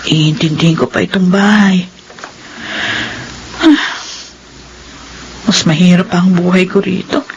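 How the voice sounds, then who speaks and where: Weary homesick mother, muffled recording. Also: deep voice. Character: weary, homesick mother working abroad as a nanny. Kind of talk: radio drama soliloquy. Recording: muffled, clearly noisy radio drama recording.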